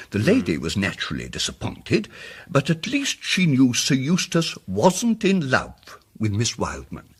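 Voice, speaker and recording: An actor playing a mild, muddled amateur detective in a radio drama, speaking with hesitant, fussy precision and old-fashioned politeness. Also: male voice, medium pitch, fairly bright tone, breathy edge, exaggerated voice. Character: mild, muddled, apologetic male amateur detective explaining his deductions.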